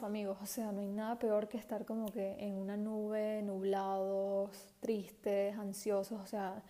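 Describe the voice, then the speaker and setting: A woman's voice: mid-range voice, warm tone. A woman in her late twenties hosting a solo podcast, talking casually and confidingly to her listeners with many fillers and restarts. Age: 20s. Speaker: podcast host.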